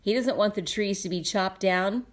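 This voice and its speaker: A woman reading a storybook aloud for children, expressive, pausing to explain and ask simple questions. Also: mid-range voice. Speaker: storybook reader. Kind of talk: children's read-aloud with asides to the listener.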